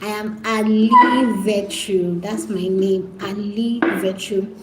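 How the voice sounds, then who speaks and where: A woman's voice: medium pitch, fairly even intonation, slow pace, reverberant, noisy background. A Nigerian woman hosting a casual live stream, pleading and repetitive as she talks straight to her viewers.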